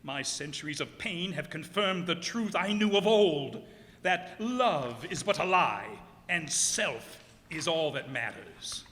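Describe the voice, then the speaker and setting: A man's voice: on the high side, animated, slow, fairly bright tone. A man playing a tormented, vengeful undead sea captain in a grandiose, archaic old-time radio melodrama style. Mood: bitter, scornful. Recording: echoey hall stage performance.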